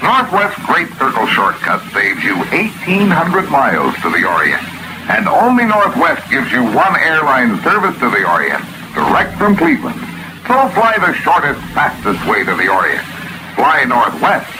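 A man's voice: mid-range voice, wildly swooping pitch, moderate pace, noisy background. A man announcing an old-time radio commercial in a scripted sales style. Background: music.

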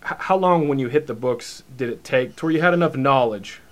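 A man's voice: medium pitch, fairly quick. A man chatting casually as an interviewer, with brief reactions and short questions. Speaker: podcast interviewer. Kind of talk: casual chat.

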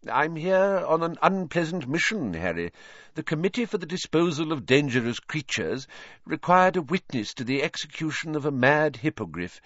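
A man's voice: fairly high pitch, big pitch swings, normal speed, fairly bright tone, no echo, dull audio, voice pushed up. A man voicing a fussy middle-aged male government official with a raised, breezy delivery.

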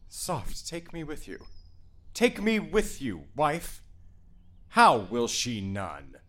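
A man's voice: medium pitch, wildly swooping pitch, very slow, heavily acted. A man playing a furious, domineering noble father in a Shakespeare reading, blustering and commanding. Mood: indignant. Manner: blustering, commanding, rises to shouting rants.

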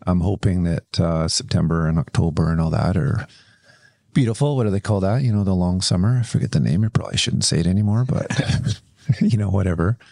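A man's voice: sits low, fairly quick, gravelly, mellow, dry recording, clean studio sound. A man hosting a podcast who chats loosely with his guest, casual and now and then profane. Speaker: podcast host. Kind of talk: casual chat.

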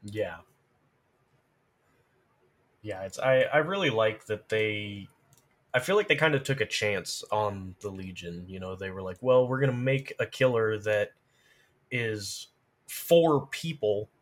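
A man's voice: fairly low pitch, expressive, slow, pauses often. A man chatting casually, explaining his thoughts in a reflective, informal way. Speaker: podcast co-discussant on game lore. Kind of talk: casual chat.

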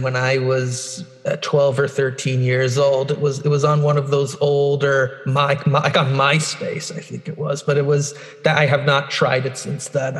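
A man's voice: medium pitch, in a large hall. A man, chatting casually on a podcast. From Brooklyn, New York. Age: 20s. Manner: relaxed, loose with fillers. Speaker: podcast co-host.

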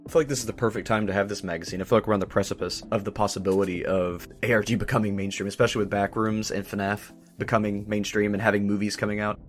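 A man's voice: fairly low pitch, little pitch movement, quick pace, few pauses. A man speaking earnestly and giving his opinions in a casual panel discussion as a host. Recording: faint music underneath. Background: soft background music.

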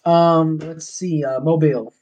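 A man's voice: fairly high pitch, steady tone, measured pace, darkish tone. A man from Georgia with a Southern US accent, chatting and telling stories casually as a podcast guest. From Georgia, USA. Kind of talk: casual storytelling chat. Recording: dull lo-fi call audio.

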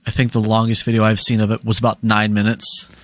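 A man co-hosting a podcast in casual chat, blunt, swearing freely and stating his opinions flatly. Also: on the low side, fast talker, no echo. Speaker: podcast co-host.

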